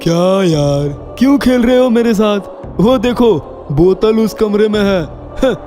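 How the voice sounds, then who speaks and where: Man as slow, dark-voiced haunted gang crony. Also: sits high, soft background music. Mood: annoyed. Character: male drinking crony who becomes ghost-possessed. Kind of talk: animated horror story dialogue.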